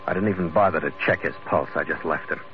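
An actor playing a tough, wry sailor and bodyguard in an old radio drama, terse and matter-of-fact. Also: male voice, very deep voice, fast, soft background music. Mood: grim narration. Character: tough, wry Irish-American sailor hired as bodyguard, narrating. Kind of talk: 1940s-50s radio drama acting, hard-boiled narration. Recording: dull vintage radio recording.

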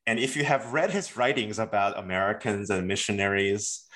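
A man's voice: lowish voice, moderate pace, sharp tone. A man from South Korea, talking as an author in an academic interview, thoughtful with many fillers and restarts. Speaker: author, guest.

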